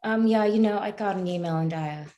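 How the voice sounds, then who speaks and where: An actress playing a hesitant, withdrawn woman in a staged play reading, speaking haltingly. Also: medium pitch, dark tone, muffled recording, close to an everyday voice. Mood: awkward, reluctant. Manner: halting, hesitant, filler-heavy. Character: hesitant, withdrawn woman walking away from a retreat.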